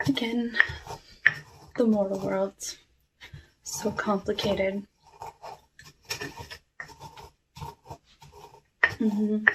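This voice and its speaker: Female performer as wistful cursed witch, soft ASMR speech, very slow halting delivery, lightly high pitch, clear background noise. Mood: politely curious. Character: softly eerie, lonely cursed witch of the woods. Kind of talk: ASMR roleplay, soft talking. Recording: clearly noisy with clicks.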